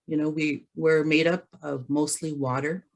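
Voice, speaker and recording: A woman from the James Bay area of northern Canada giving a webinar talk over a video call, hoarse from laryngitis, speaking carefully with many pauses. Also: low voice, level tone. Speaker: guest presenter. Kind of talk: webinar presentation.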